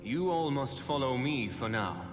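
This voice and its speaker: An actor playing a betrayed, proud knight turned vengeful, power-hungry lord in a video game, grave and dramatic. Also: male voice, medium pitch, animated, a little slow, close to an everyday voice. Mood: cold, commanding. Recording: loud background music. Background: game soundtrack music.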